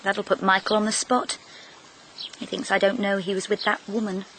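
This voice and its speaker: An actress playing a cool, sharp-witted British stage actress and wife in a radio mystery, dry, frank and offhand. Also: female voice, medium pitch, level tone, normal speed, slight background noise, natural voice. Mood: wry.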